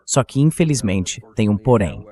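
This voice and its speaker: A man's voice: fairly low pitch, sing-song, normal speed, dark tone. A man hosting a commentary show, speaking in a translated voice-over political commentary style.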